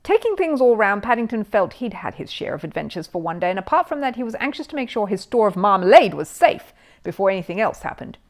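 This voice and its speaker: A woman's voice: medium pitch, very animated, quick pace. A woman narrating a children's story expressively from a written text.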